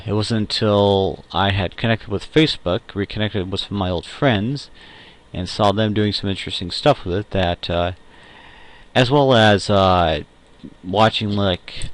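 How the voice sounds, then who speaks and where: A young man giving a casual personal monologue in a rambling, halting way, with frequent pauses. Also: on the low side. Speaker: audio log host.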